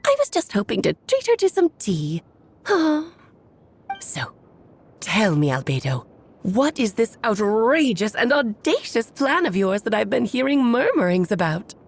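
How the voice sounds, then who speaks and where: An actress playing a poised, playfully inquisitive sorceress hostess in a game, with an elegant, theatrical delivery. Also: female voice, a little high, very animated, a little slow, heavily acted. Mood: curious. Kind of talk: scripted English game voice acting. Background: soft background music.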